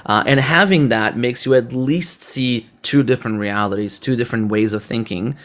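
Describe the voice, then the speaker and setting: A man's voice: medium pitch, moderate pace, fairly bright tone. A man from Brazil, a native Portuguese speaker with a Brazilian accent, hosting a conversational interview. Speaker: podcast host.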